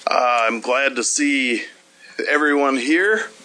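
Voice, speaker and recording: A man chairing a legislative committee hearing in a hearing room, easygoing and folksy, speaking into a desk microphone with plenty of filler pauses. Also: mid-range voice, sharp tone.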